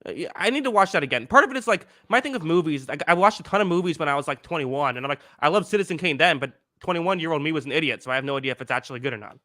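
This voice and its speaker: A man in his twenties hosting a podcast in casual chat, rambling with many fillers and restarts, with a higher-pitched voice. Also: expressive, rapid-fire, few pauses, pushed and bright, dry recording, studio quality. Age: 20s. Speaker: podcast host.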